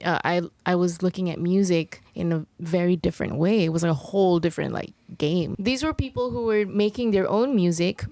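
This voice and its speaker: A woman's voice: on the low side, a little fast, few pauses, dark tone, no echo. A young woman from Malaysia, a singer chatting casually as an interview guest, filling her talk with "like" and "um". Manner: chatty, many fillers like "like" and "um". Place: recording studio.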